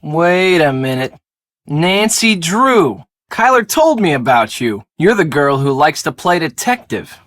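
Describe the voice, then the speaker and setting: A man's voice: a little high, sing-song, measured pace, fairly bright tone, dry recording, clean studio sound. An actor playing a defensive, glib young American man in casual, conversational video game dialogue. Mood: guarded.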